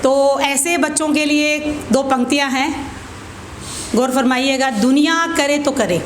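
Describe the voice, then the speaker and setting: A woman performing as a poet at an open mic, reciting verse with deliberate repeated lines and informal introductions. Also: high voice. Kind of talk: spoken Hindi-Urdu poetry recital with informal introductions. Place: open mic event room. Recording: echoey room with clear background noise.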